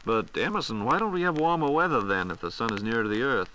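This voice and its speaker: A man with a medium-pitched, bright voice hosts a 1940s radio question-and-answer show. He moves along quickly, putting scripted questions in a polite, crisp announcer manner. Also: animated, a little hiss or hum, rough recording. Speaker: radio host reading listener questions. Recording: 1940s radio broadcast recording.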